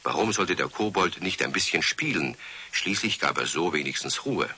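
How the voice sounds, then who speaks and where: A man's voice: deep voice, lively intonation, normal speed, sharp tone, husky. A man narrating a scripted children's story in a wry storytelling manner.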